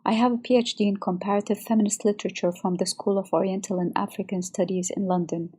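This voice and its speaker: A woman's voice: mid-range voice, fairly even intonation, fast, mellow, slightly rough. A woman from Saudi Arabia narrating a prepared account of her work as a researcher and activist. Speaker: researcher and women's rights activist. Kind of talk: scripted personal narration.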